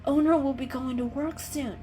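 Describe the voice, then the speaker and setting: A woman's voice: high-pitched, fairly even intonation, moderate pace, warm tone, soft background music, voice pushed up, lightly acted. A woman voicing a determined, caring female cat in a storybook reading, earnest and coaxing. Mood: worried, insistent.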